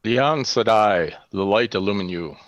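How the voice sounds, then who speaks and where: Calm warrior guard , male actor, lowish, dry, terse and solemn, fantasy read. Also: a little slow, no echo, muffled recording, lightly acted. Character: calm, stoic warrior bodyguard man. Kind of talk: table read of a fantasy screenplay.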